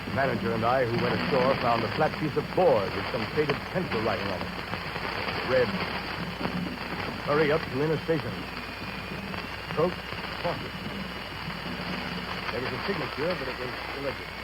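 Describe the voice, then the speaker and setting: A man's voice: mid-range voice, expressive, normal speed, pauses often, close to an everyday voice. Male actor as wry, brooding riverboat captain-narrator, 1930s radio drama, dramatic literary delivery, noisy vintage recording.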